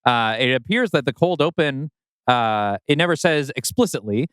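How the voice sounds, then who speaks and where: Wry man with lively, clear delivery. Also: medium pitch, normal speed, dry recording. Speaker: podcast co-host. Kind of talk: casual podcast chat.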